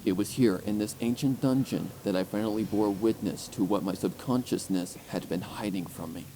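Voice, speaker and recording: A man's voice: on the low side, moderate pace, dark tone, a little hiss or hum, rough recording. A man reading scripted story narration with an intense, theatrical delivery, as an audiobook narrator. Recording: music under the voice.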